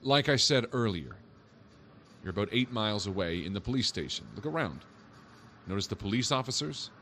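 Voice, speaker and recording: Actor doing a dry teasing cop, lowish voice, light hiss behind. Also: male voice, lively intonation, fairly quick, bright, forceful tone. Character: wisecracking skeptical male police officer. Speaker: police officer. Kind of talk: audio drama acting.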